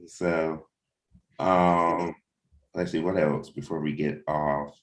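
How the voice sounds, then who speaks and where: Deep-voiced man chatting casually. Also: level tone, takes it slowly. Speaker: comic book creator leading a team meeting. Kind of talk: casual chat, planning and anecdotes.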